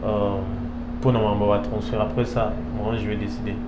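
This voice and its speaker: Halting Congolese man in an echoey, noisy room. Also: on the low side, level tone. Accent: Congolese French. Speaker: interview guest, professional footballer. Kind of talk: casual interview answers, mixing languages. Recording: echoey room, clear background hum.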